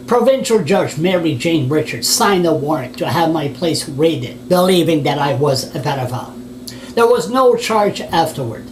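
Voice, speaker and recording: A Canadian man, a blogger, reading his written complaint aloud in an indignant, plain-spoken way with offhand asides and restarts, on a noisy recording. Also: a little high.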